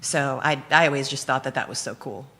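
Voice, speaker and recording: Chatty woman, low voice, hall echo. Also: fairly quick, sharp tone, soft background music. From Philippines. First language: Dutch. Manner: chatty, laughs often, lots of fillers. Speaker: guest sharing her testimony. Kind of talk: casual conversational testimony. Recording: long hall reverb.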